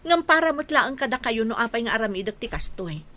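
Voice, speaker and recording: Woman doing a determined mom in a radio drama, high voice, swings up and down, earnest and emphatic. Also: very animated, fairly quick, bright, forceful tone. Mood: earnest, consoling. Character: determined, self-sacrificing wife and mother.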